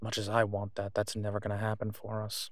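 A man's voice: fairly low pitch, monotone, brisk, dry recording, natural voice. Man playing a weary, reassuring werewolf boyfriend, close dry recording. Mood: reassuring, casual. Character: tired, devoted male werewolf, protective and on edge. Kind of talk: intimate roleplay audio drama, one side of a phone call.

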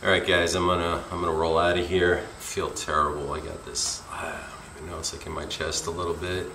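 A middle-aged man with a gravelly voice, talking casually and a little uneasily to viewers in a rambling handheld vlog. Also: low voice, level tone, a little fast. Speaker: urban exploration vlogger. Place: abandoned derelict house and surrounding woods. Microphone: handheld camera at arm's length. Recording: faint outdoor noise with chirping insects.

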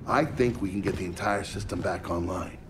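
An American actor playing a cocky, sardonic middle-aged engineer soldier in a video game. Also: male voice, sits low, brisk, background noise, natural voice. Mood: cocky, brisk. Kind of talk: video game cutscene acting.

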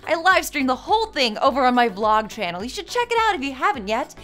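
A woman's voice: sits high, very animated, quick pace, fairly bright tone, faint music behind. A woman in her thirties hosting satirical video commentary in an arch, theatrical, mock-sincere way. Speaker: video essay host. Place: indoor studio set with plain backdrop.

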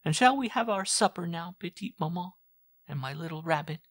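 A man's voice: on the high side, expressive, fairly quick, fairly bright tone, no echo, studio quality, voice pushed up. Man voicing a bantering young soldier son. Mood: cheerful. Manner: light, joking, deflecting.

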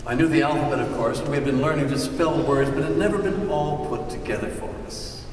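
An older male author reads aloud in a deliberate, declamatory way. He is heard from far off in a very reverberant hall, with faint noise. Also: mid-range voice, darkish tone. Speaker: author presenting his book. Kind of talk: prepared reading to an audience. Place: indoor hall, on stage. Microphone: distant audience camera.

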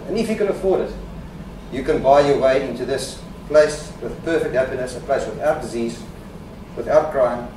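A man's voice: medium pitch, darkish tone. A man giving a conference presentation, joking dryly, with fillers and restarts. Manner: wry, joking asides with fillers. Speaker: conference speaker. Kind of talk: presentation talk. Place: conference hall. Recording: echoey hall from far off, background noise.

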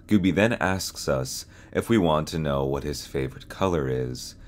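A young man reading scripted narration as host, in a measured, serious, ominous storyteller manner. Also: a bass voice, animated. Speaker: host and narrator of a horror explainer channel.

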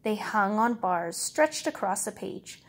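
A woman reading a picture book aloud as a storyteller, with expressive, measured, dramatic phrasing. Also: mid-range voice. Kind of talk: expressive read-aloud narration.

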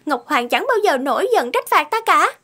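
A woman voicing a flighty, careless young fairy in an animated fairy tale, girlish and exclamatory. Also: high voice, moderate pace, full character voice. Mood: carefree. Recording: music under the voice.

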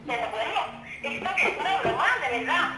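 A woman's voice: high-pitched, lively intonation, fairly quick. A woman in a phone conversation, heard through a speakerphone. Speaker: mother receiving a prank phone call. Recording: speakerphone in echoey room, music behind.

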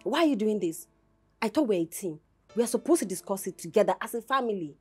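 A woman's voice: medium pitch, lively intonation, fast talker, a little mellow, slightly rough, faint music behind. A woman acting an upset, firm young wife in a film drama, insistent and repeating herself. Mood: upset, indignant.

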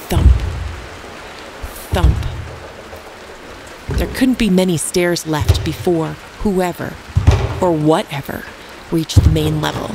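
A woman telling a scary first-person story as scripted narration, in a deliberate, dramatic manner. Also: on the low side, background noise. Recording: loud rain and ambience behind voice.

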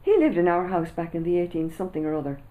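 A woman's voice: on the low side, big pitch swings, quick pace, mellow, poor audio. A woman voicing an easygoing, grinning roly-poly boy in a storybook reading, speaking with confident, knowing ease.

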